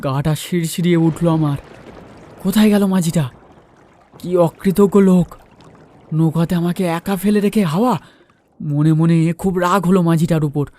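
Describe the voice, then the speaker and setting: Higher-pitched man narrating a horror story. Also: steady tone, normal speed, pauses often, mellow. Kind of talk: dramatic first-person horror story narration.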